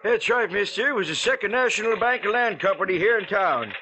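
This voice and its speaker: An actor playing an older, folksy small-town print worker, reciting facts exactly in 1940s radio style. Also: male voice, high voice, lively intonation, brisk, pushed and bright, exaggerated voice. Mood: folksy, matter-of-fact. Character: sad-faced, folksy small-town newspaper linotype operator. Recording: dull, faintly noisy old radio recording.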